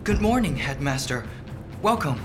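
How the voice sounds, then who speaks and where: A woman playing a passionate, devoted young male painter in a radio drama, earnest and halting. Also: a bass voice, sing-song, over music.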